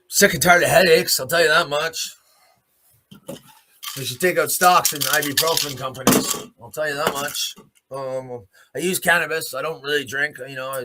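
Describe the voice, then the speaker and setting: A man's voice: a little high, sharp tone. A man hosting a solo commentary show, delivering a blunt, off-the-cuff opinionated monologue with free use of swearing.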